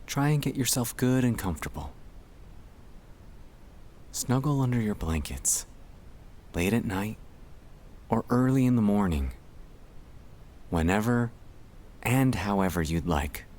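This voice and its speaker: A man speaking in a gentle, soothing and reassuring way, guiding relaxation in an ASMR style with pauses between short phrases. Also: lowish voice, lively intonation. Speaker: ASMR sleep-aid performer.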